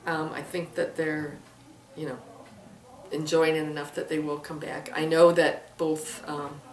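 A woman's voice: low voice, flat delivery, measured pace. A middle-aged woman, a theatre director, chatting casually in an interview. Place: small room with bookshelves, indoors. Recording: roomy, distant mic, faint hiss.

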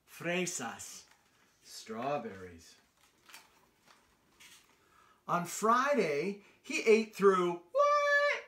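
A middle-aged American man reads a picture book aloud for young children. His delivery is slow and animated, rising and falling widely with sing-song emphasis. He addresses his young listeners directly as a storytime host. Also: high-pitched. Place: small room indoors on a couch.

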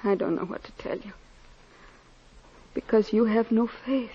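A high-voiced, devout young woman. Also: measured pace, breathy edge. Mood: grave. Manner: earnest, solemn. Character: devout, loving young woman, solemn and steadfast. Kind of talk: old-time radio drama acting. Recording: muffled, noisy old radio recording.

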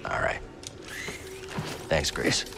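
A man playing a weary, stubborn young rebel fighter in a video game, understated and guarded. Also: extremely slow. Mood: evasive. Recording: music behind the voice.

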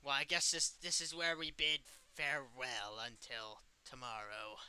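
A man's voice: mid-range voice, flat delivery, measured pace, sharp tone, clean tone. A man playing a mischievous evil puppet in improvised game roleplay, talking like a playful, theatrical villain. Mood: smug.

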